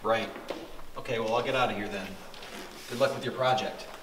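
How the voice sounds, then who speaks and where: A man's voice: on the low side, steady tone, brisk, close to an everyday voice. A man playing a secretive, evasive villain in a horror film, recorded in an echoing room. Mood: awkward, evasive. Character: evasive adult male villain, secretive child trafficker. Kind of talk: naturalistic horror film acting. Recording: echoey room, faint noise.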